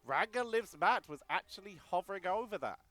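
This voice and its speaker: A man's voice: high-pitched, expressive, brisk, fairly bright tone, no room sound, soft background music. A man with a cold-stuffed nasal voice giving solo play-by-play commentary on an esports match, chatty and thinking aloud between calls. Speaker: esports caster. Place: home setup.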